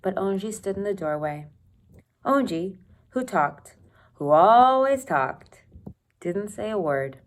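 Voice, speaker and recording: A woman teacher reading a children's novel aloud expressively, pausing to think aloud and comment for her students. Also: mid-range voice, animated. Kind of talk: read-aloud storytelling with asides to students.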